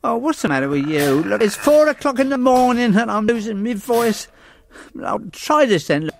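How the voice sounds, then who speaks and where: An actor playing a bumbling, hapless man who talks in an excitable, fumbling way. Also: extremely high pitch, normal speed, vocal fry, heavily acted. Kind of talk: sitcom acting.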